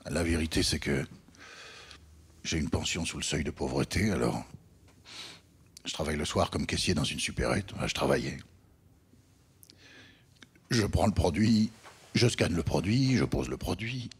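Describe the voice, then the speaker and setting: An actor playing a weary older retired man in a dark-comedy radio play, confessing in a deadpan, matter-of-fact way. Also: very deep voice, moderate pace, long pauses, breathy edge, full character voice. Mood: weary, flat.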